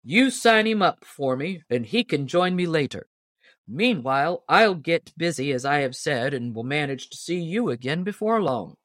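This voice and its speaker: A big lumberjack hero from a storybook, played by a woman, confident and good-natured. He talks briskly and decisively, like someone used to giving orders. The sound is dry and close. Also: low-pitched, animated, dry recording. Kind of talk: storybook character voice.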